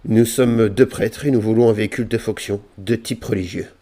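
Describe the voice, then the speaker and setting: A man's voice: lowish voice, steady tone, brisk, dark tone, a little hiss or hum. A man in casual, mocking banter with slang and swearing, trolling in an online roleplay game. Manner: mocking, cheeky.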